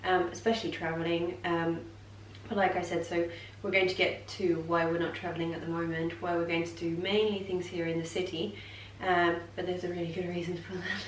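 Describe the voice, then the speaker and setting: Young woman, lowish voice, easy chatty talk, kinda far from the mic. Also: flat delivery, fairly quick. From Poland. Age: young adult. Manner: fluent, chatty, open. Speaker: vlogger. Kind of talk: casual chat. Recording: echoey room, mic set far off, faint noise.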